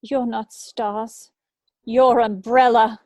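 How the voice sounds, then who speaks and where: A woman reading a grave, mellow-voiced bitter woman scientist. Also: a little high, little pitch movement, slow, a little hiss or hum. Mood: bitter, accusing. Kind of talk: dramatic script table read.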